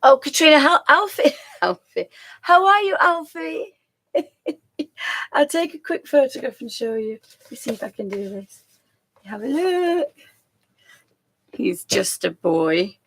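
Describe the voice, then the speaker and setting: A woman hosting a live stream, chatting casually and rambling with a croaky voice, often laughing and trailing off. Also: sits high, lively intonation, slow, pauses often, a bit bright.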